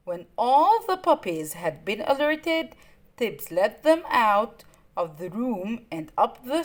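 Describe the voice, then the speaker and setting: A woman reading a children's story aloud in an expressive, dramatic way. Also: fairly high pitch. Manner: expressive, dramatic, deliberate phrasing.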